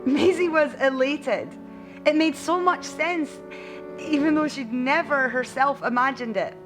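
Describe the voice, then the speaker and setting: A woman narrating a scripted bedtime story in an expressive audiobook style. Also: sits high, measured pace, music in the background.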